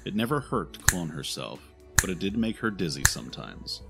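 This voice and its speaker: A man's voice: low voice, very animated, moderate pace, vocal fry, loud music behind the voice. A man reading scripted audio drama narration in a dramatic, solemn storytelling manner.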